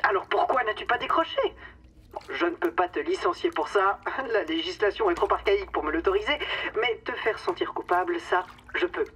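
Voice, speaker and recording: A woman's voice: fairly low pitch, brisk, fairly bright tone, breathy edge, far from the mic, poor audio. An actress playing a domineering, cynical female boss in a satirical radio drama, condescending and theatrical, with mock sweetness. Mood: smug, confiding.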